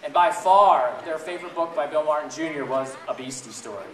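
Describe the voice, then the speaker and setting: A man's voice: a little high, brisk. A man reading aloud and talking to a group of families in a theatrical, playful way, often putting questions to his listeners. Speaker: children's book reader and blogger. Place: large echoey indoor room at a school event. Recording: echoey noisy room, distant mic.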